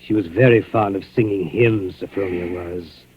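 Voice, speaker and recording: An actor playing a quietly menacing, falsely solicitous captor with a rough voice, in 1940s radio drama style. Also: male voice, deep voice, normal speed, slight background noise, close to an everyday voice. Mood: insinuating menace. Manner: insinuating, mock-concerned. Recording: dull, lo-fi old radio recording.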